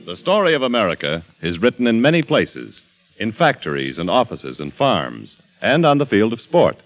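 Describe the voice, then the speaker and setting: A man's voice: fairly low pitch, big pitch swings, normal speed, faint music behind, rough recording. A man announcing a 1940s radio drama in a formal, grand delivery.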